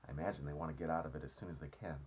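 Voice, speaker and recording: A man's voice: a bass voice, fairly even intonation, rapid-fire, background noise. A man voicing an eager, clever boy adventurer in a storybook, speaking earnestly. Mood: reassuring.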